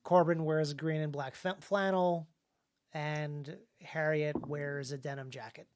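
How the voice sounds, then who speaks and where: Halting man in casual podcast discussion. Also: fairly high pitch, steady tone, a little mellow, clean tone. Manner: halting, repeats words as he thinks. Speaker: podcast host and author. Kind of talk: casual discussion of writing craft.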